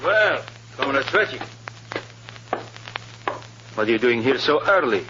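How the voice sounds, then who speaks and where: Suspicious, commanding party boss. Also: male voice, mid-range voice, very animated, unhurried. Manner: formal, probing. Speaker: actor. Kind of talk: old-time radio drama acting. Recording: noisy muffled old radio recording.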